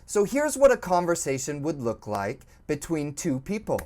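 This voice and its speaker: A woman teaching an English lesson, speaking slowly and clearly, encouraging and prompting the learner to repeat. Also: deep voice, very animated, dark tone. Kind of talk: slow, clearly spoken language lesson.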